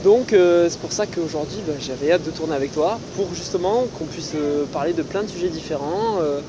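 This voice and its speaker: A young woman hosting a casual podcast interview outdoors, relaxed and hesitant, with many "euh" fillers. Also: sits low, brisk, background noise. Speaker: podcast host. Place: outdoors in a city on a hot day.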